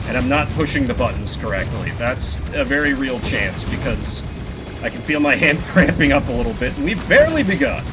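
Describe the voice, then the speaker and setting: A man's voice: mid-range voice, noisy background. A man doing casual live gameplay commentary, rambling in a self-deprecating way with casual swearing. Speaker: video game streamer.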